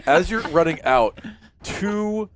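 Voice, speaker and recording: A man acting as game master, narrating the action in a casual, halting, conversational way. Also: high-pitched, expressive, a little hiss or hum.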